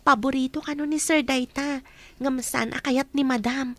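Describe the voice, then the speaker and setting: A woman's voice: high-pitched, steady tone, normal speed, no echo, close to an everyday voice. A woman playing a humble young housemaid. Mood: wondering. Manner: polite, deferential. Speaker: new housemaid. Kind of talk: radio drama acting.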